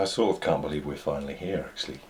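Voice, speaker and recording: A man's voice: extremely low pitch, fast talker, over loud music. A man co-hosting a casual home chat show, joking and teasing his co-host.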